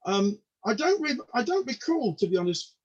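A man's voice: extremely high pitch, big pitch swings, normal speed, slightly rough, highs cut off. A man in his mid-sixties from the UK whose first language is Polish, chatting casually as an interview guest in a self-deprecating way, often stammering over repeated words. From United Kingdom. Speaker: podcast guest, comedian.

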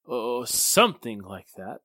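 A male actor performs an evasive businessman from a 1940s radio drama. His forceful voice swings widely in pitch with theatrical emphasis. The sound is close and dry. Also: big pitch swings, a little slow, no room sound. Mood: evasive, faltering. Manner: theatrical, emphatic, faltering when challenged. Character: excitable, evasive businessman Mister Lawton, a murder suspect.

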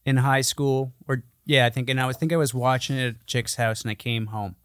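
A man's voice: mid-range voice, little pitch movement, fairly quick, fairly bright tone, smooth, dry recording. Guy podcast cohost just chatting, swears a lot, crude jokes, dry close sound. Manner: crude, profane, joking. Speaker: podcast co-host. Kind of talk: casual chat.

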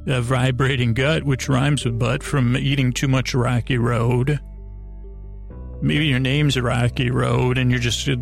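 A man with a creaky voice hosting a bedtime podcast in a meandering, halting, improvised monologue with soothing intent. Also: medium pitch. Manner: meandering, halting, stumbles over words, self-interrupting; soothing, lulling. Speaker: podcast host.

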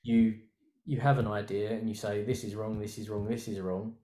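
A man's voice: lowish voice, little pitch movement, normal speed, dark tone. Rambling man in his thirties, echoey room. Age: 30s. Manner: rambling, thinks aloud with many restarts. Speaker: designer guest. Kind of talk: casual podcast chat.

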